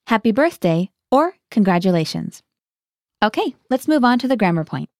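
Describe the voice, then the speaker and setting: A woman's voice: mid-range voice, big pitch swings, moderate pace. A woman hosting a scripted language lesson, guiding the learner and translating each phrase into English.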